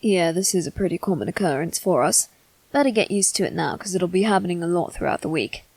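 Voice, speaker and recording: A woman's voice: on the low side, expressive, fast talker, darkish tone, husky, dry recording. A woman voicing a brash, quarrelsome male demon servant in an ASMR roleplay, casual and cheeky in manner. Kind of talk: ASMR roleplay addressed to the listener.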